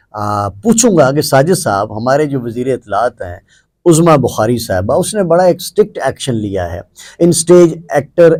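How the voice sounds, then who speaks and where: A man's voice: mid-range voice, darkish tone. A man with a Pakistani accent hosting a talk show, setting out an issue and putting questions with emphatic repeated phrases. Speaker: host.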